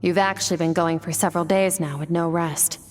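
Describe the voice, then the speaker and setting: A woman playing a cool, aloof young swordswoman mentor in an anime game, speaking in a measured, deadpan, instructive way. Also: lowish voice, natural voice. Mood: cool approval. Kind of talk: anime video game voice acting. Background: background music.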